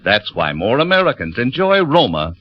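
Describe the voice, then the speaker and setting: A man's voice: mid-range voice, wildly swooping pitch, normal speed. A man announcing on 1940s radio with a polished, emphatic sponsor's delivery. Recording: dull vintage radio broadcast, faint music.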